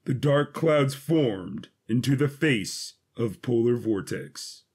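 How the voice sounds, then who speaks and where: A man with a husky voice giving a scripted, dramatic narration of an adventure story. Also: mid-range voice, animated, takes it slowly, darkish tone.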